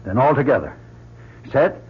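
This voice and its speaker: An actor playing a decisive, practical astronaut crew leader in an old-time radio drama, giving clipped orders. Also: male voice, mid-range voice, big pitch swings, normal speed, breathy edge. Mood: determined. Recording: dull, noisy old radio recording.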